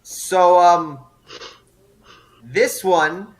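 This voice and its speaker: Halting male host reading results. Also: sits high, rough recording. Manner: halting, many fillers and restarts. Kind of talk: casual group chat over voice call.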